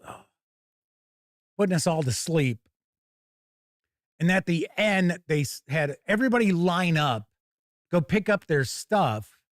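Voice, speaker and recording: A man's voice: fairly high pitch, no echo, clean studio sound. A man hosting a tabletop gaming show in a casual live-stream style, candidly giving opinions and rambling through anecdotes.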